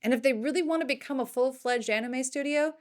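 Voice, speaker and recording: A woman's voice: sits high, fast talker. A woman hosting a film news show, delivering scripted commentary with playful, emphatic jokey asides.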